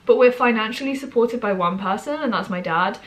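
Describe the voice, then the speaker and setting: A woman in her twenties from Britain, croaky and hoarse from a cold, chatting to camera in a rambling, casual way. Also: on the high side, fairly quick. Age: 20s. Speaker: vlogger.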